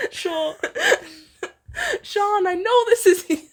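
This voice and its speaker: A woman's voice: extremely high pitch, drawn-out words. A young woman reading fan fiction aloud informally with a friend, in a playful, giggly manner. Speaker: amateur fan fiction reader.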